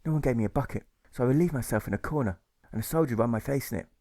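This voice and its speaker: A man's voice: mid-range voice, racing, warm tone, dry recording, lightly acted. A man voicing a meek, tearful, submissive coward in an audiobook, resigned and pitiful. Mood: miserable.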